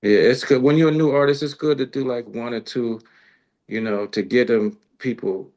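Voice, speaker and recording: A man's voice: medium pitch, moderate pace, a little mellow, dull audio. A man talking casually and colloquially as a music show host, rambling through his thoughts in slangy speech. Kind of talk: casual interview chat.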